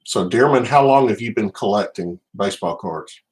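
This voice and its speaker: Deep-voiced Southern US man. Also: expressive. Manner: unhurried, addresses viewers by name. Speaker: livestream host, card collector. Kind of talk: casual livestream chat.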